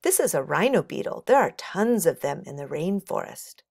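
A woman voicing a bright, earnest schoolgirl in a children's storybook, explaining things carefully. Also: low-pitched, big pitch swings, normal speed, vocal fry, husky, dry recording, clean studio sound, exaggerated voice. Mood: enthusiastic.

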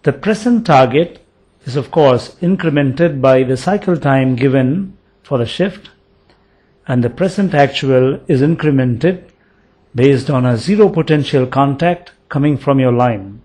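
A man giving a voice-over for a product demonstration, explaining it step by step in a measured way. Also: mid-range voice, a little mellow. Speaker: product demo narrator.

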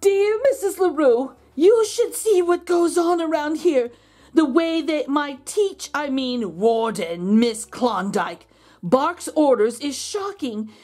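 Woman voicing an indignant, melodramatic dog, swooping pitch. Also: expressive, takes it slowly, darkish tone. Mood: indignant complaining. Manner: pleading, aggrieved, dramatic emphasis. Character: indignant, self-pitying, melodramatic male pet dog writing letters. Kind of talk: children's storybook read-aloud.

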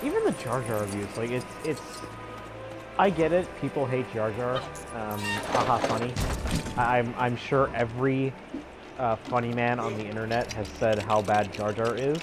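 A young man chatting casually as he streams a game, rambling and halting in an amused way. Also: medium pitch. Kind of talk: casual live gameplay chat. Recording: loud game music and sounds behind. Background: video game music and effects.

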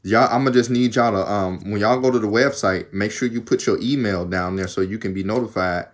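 Young Southern vlogger, low-pitched, talkative and laid-back, speaking into a phone at arm's length. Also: male voice, fairly quick. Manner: rambling, talkative, laughs often. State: arms tired while undoing braids. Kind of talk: casual chat to camera. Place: small room indoors. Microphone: phone camera at arm's length.

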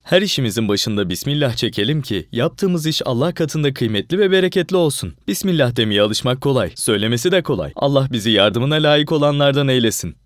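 A man delivering scripted narration in a measured, instructive manner. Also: medium pitch, expressive, no echo, clean studio sound. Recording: background music under the voice.

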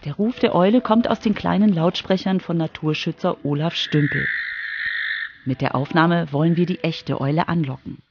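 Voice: medium pitch, moderate pace, highs cut off, noisy background. A narrator telling a scripted radio feature for children. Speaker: narrator, reporter.

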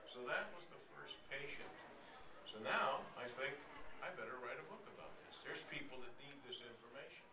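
A man's voice: mid-range voice, moderate pace. A middle-aged man giving an informal, anecdotal public lecture as a conference speaker. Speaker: conference speaker, author on stem cell therapy. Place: hotel conference room, at a podium. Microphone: audience camera from several meters away. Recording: echoey room, distant camera mic, noisy.

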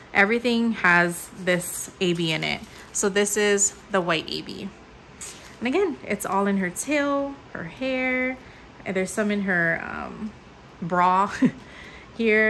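Chatty, bright-voiced woman crafting host. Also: mid-range voice, slight background noise, rough recording. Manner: chatty, upbeat, many fillers. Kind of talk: casual unboxing commentary. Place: indoors at a table. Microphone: overhead camera mic, hands-only framing.

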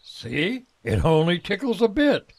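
A man playing a cranky, cocky, reckless volunteer fighter in an audio drama, with gruff, folksy defiance. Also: sits high, sing-song, measured pace, full character voice. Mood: exhilarated.

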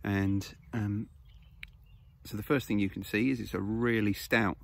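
Gravelly man vlogging on a hillside. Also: on the low side, level tone, a little slow. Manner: casual, explanatory, easygoing with fillers. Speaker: foraging channel host. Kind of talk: outdoor how-to vlog. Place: grassy hillside outdoors. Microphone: phone camera held close.

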